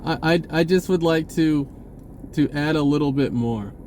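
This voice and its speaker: A man talking casually and off the cuff to viewers, halting and often repeating his words. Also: on the high side, darkish tone, slight background noise. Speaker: spiritual video blogger. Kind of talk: casual unscripted monologue to viewers.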